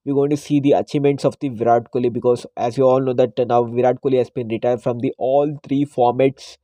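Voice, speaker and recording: A man, hosting a casual solo podcast, hoarse from a cold and pausing often with fillers. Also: mid-range voice, steady tone, mellow, dry recording. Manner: hesitant, frequent "uh" fillers. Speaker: podcast host.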